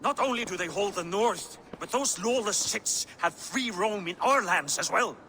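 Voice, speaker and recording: A high, forceful angry Viking. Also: male voice, expressive, moderate pace, a little hiss or hum, full character voice. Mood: bitter anger. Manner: forceful, indignant, cursing. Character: angry, foul-mouthed, blustering Viking warrior man. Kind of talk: video game dramatic acting.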